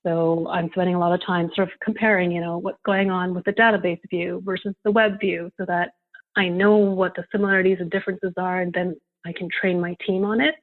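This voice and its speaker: A woman's voice: lowish voice, level tone. Woman on a tinny phone-like line. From Canada. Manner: measured, explanatory, occasional soft laugh. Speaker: guest, solo prospect researcher. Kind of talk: interview answers.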